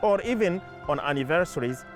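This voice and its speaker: A man's voice: a little high, lively intonation, brisk. Earnest man, French native, over music. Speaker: community campaigner. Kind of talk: documentary interview.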